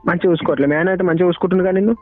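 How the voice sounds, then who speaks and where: A man's voice: high voice, steady tone, rapid-fire. A young man with a Telangana accent in an emotional private phone argument, sounding hurt and reproachful and repeating his phrases insistently. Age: young adult. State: hurt, upset. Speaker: caller's former boyfriend. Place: phone call. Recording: tinny phone call recording with music. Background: music.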